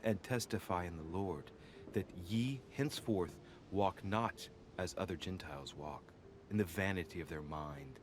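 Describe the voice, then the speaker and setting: An actor playing a brooding investigator in a video game, speaking in a measured, solemn and formal way. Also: male voice, low-pitched, a little hiss or hum. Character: brooding male investigator examining religious paintings and scripture. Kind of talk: scripted video game voice acting.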